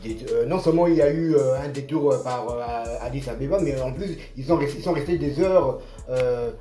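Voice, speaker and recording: A man's voice: mid-range voice, a little fast, dark tone, soft background music. A male journalist co-hosting a studio show, recounting details hesitantly with many fillers. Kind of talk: studio commentary. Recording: roomy studio echo.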